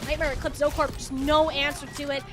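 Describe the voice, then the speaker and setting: A woman's voice: sits high, flat delivery, brisk, over music. A woman giving live esports commentary in a playful, slangy way. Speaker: esports caster.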